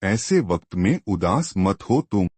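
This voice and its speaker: A man's voice: low-pitched, very animated, takes it slowly, a little mellow, no room sound, exaggerated voice. A man playing a blunt, reassuring old family friend in a cartoon moral story, advising with emphasis. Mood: sympathetic.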